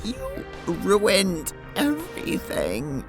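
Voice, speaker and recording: A woman playing an anguished teenage girl and her timid double in an audio drama, speaking haltingly. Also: lowish voice, wildly swooping pitch, music in the background, exaggerated voice. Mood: angry, tearful. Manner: stammering, halting. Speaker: voice actor.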